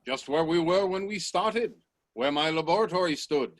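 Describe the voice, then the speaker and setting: An actor playing a bold, probing scientist and time traveller in a radio play, speaking in an assertive, professorial way. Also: male voice, on the high side, normal speed, dry recording, close to an everyday voice. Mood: matter-of-fact. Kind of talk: radio drama acting. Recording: noisy video-call audio with clicks.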